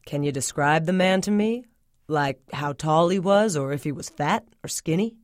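A patient, methodical police officer asking slow, probing questions, read by a woman narrator in her own low voice. The sound is close and dry. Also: no echo, natural voice. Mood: questioning.

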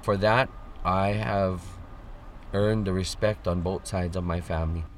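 A man from Oahu giving a measured, earnest personal account as a radio guest. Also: low voice, steady tone, a little hiss or hum. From Oahu, Hawaii. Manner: measured, earnest, lists things in series.

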